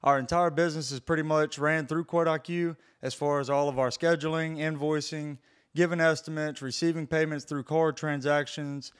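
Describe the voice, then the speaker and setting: A man's voice: fairly high pitch, fairly even intonation, moderate pace, clear, resonant tone. A man who runs a pressure washing business, narrating a voice-over in a blunt, casual way and swearing freely.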